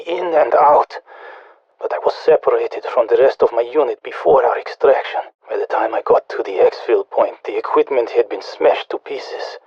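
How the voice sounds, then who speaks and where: Muffled, dry radio audio carries a stranded soldier played by a man. He is stoic and haunted, speaking in a husky, measured, foreboding way, as in a video game transmission. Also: mid-range voice, no room sound, natural voice. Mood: resigned, dutiful.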